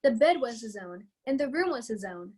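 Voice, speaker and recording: A female narrator with a slightly husky voice reading a scripted dramatic story. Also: a little high, sing-song, normal speed, a little mellow.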